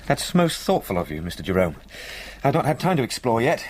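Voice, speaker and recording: An actor in a radio play playing a polite, cheerful, formal young solicitor with an English accent. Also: male voice, mid-range voice, very animated, brisk, darkish tone, slight background noise, lightly acted. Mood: brisk, courteous.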